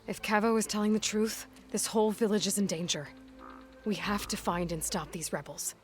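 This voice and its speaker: A woman's voice: medium pitch, steady tone, moderate pace, natural voice. Actress doing a young warrior woman from a video game, determined and practical, bit of music under her. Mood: questioning. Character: resolute young female warrior hero, practical and steady. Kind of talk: video game cutscene acting.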